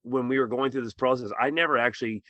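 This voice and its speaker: A man's voice: medium pitch, fairly even intonation, fast talker, fairly bright tone, no echo. A man, a teacher, speaking reflectively and from the heart in a podcast interview. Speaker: teacher and co-author.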